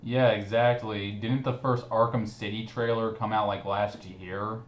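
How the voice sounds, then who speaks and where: A man's voice: fairly low pitch, little pitch movement, unhurried, lo-fi sound. A man hosting a casual group commentary chat, speaking in a mocking manner. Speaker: commentary video host. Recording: echoey room with clear background noise.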